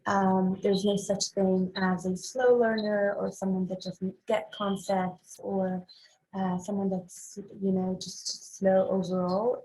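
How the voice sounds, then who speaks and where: A young woman from Morocco speaks as a podcast guest, with a steady, fairly flat tone. She answers thoughtfully, pausing on ums as she works out what she means. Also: mid-range voice, low-quality recording. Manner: thoughtful, measured, with frequent ums. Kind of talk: casual interview talk.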